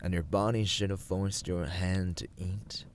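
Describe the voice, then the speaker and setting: Guy doing a smug young dungeon villain, asmr rp, deep voice, talks slow n deliberate, teasing and looking down on you. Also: fairly even intonation, slight background noise. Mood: smug, chiding. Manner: condescending, deliberate, formal, teasing. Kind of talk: ASMR roleplay, scripted dramatic monologue.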